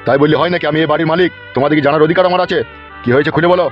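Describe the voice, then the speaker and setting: Man acting a fast-talking, lecherous cartoon landlord, dull recording. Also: on the high side, steady tone, lightly acted. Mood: mocking. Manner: taunting, crude, rapid questioning. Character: pushy, lecherous, mocking male landlord. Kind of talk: comic cartoon dialogue. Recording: dull lo-fi track with music.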